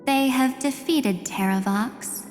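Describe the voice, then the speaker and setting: A woman acting an enigmatic, menacing, composed woman in a video game, speaking deliberately with a softly taunting manner. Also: high-pitched. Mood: sly, calm. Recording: long reverb, loud music behind. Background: music.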